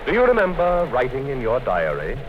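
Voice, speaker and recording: An actor in a 1950s radio play playing a calm, coldly menacing male interrogator who speaks in a measured way. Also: mid-range voice. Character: cold, patient male party interrogator, middle-aged. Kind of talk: 1950s radio drama acting. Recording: dull 1950s radio broadcast with hiss.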